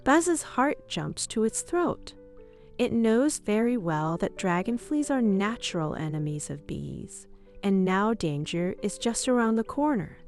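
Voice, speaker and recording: A woman reading a scripted children's bedtime story in an expressive read-aloud manner. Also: mid-range voice, unhurried. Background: music.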